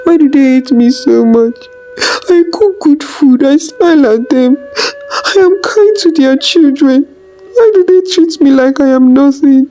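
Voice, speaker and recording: A woman voicing a kindly, long-suffering village food seller, expressive and pleading, in a folktale. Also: sits high, unhurried, faint music behind, pitched above the performer's own voice. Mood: tearful, wounded. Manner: speaks Nigerian Pidgin at times, pleading and expressive.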